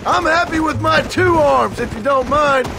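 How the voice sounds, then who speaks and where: An actor with an American accent playing a stern, gruff cowboy father in a western video game. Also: very high-pitched, normal speed, noisy background. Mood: earnest, firm. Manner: gruff, scolding. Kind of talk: dramatic video game acting. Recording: game audio with background music.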